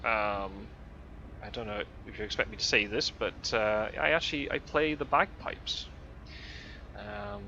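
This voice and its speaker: A young man with an accent that blends English and Scottish talks casually from a truck cab and keeps circling back with "um" and restarts. His voice sits low but comes across bright. The recording is dull and lo-fi, with a faint hum of the road behind him. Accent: mixed English and Scottish. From Scotland. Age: 20s. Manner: rambling, full of fillers and restarts. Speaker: vlogger. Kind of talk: casual chat while driving.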